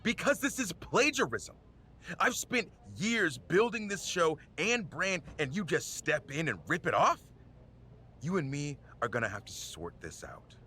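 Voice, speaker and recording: Bright, indignant, confrontational wrestler. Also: male voice, a little high, very animated, a little slow, slight background noise, full character voice. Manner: confrontational, emphatic, accusing. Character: indignant male wrestler, aggrieved video game show creator.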